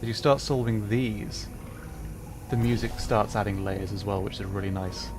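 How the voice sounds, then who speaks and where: A man's voice: lowish voice, steady tone, normal speed, music in the background. A man streaming a puzzle game as a VTuber, giving chatty, self-deprecating commentary and laughing often. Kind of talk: casual gameplay commentary.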